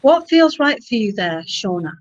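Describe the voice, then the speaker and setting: A woman's voice: medium pitch, big pitch swings, moderate pace, no echo. A woman coaching a guest in a live video chat, encouraging and practical, fond of old sayings. Her voice comes through dry and a little dull, with no room around it. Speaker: psychic coach and live show host.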